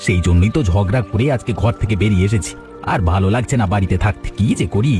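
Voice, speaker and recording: A man's voice: lowish voice, fast talker, mellow, soft background music, lightly acted. A man voicing a selfish, defiant grown younger brother in a village cartoon, whining and demanding in an insolent way. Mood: sulky. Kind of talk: melodramatic cartoon voice acting.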